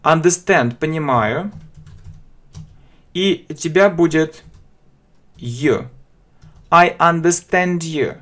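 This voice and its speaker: A man giving a beginner English grammar lesson in a video, often pausing on fillers and saying English examples slowly and with stress. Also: a little high, a bit bright. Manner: slow, emphatic English examples with many "a" fillers. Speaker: English teacher.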